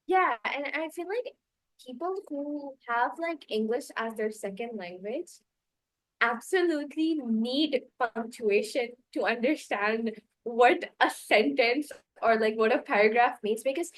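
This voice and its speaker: A young woman whose first language is Urdu hosts a relaxed podcast chat. Her voice sits a little high, and she rambles through her points with plenty of "like" and easy laughter. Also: a little slow, no echo, low-quality recording. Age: young adult. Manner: chatty, laughs often, many filler likes. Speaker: podcast host.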